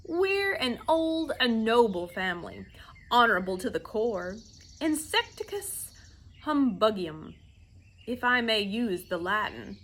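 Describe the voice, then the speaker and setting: Storybook humbug insect: elderly male, pompous, declamatory, bright and higher-pitched, played by a woman. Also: sing-song, heavily acted. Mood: pompous, boastful. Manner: pompous, declamatory, drawn-out delivery. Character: pompous, blustering old male humbug insect, grandiose, indignant.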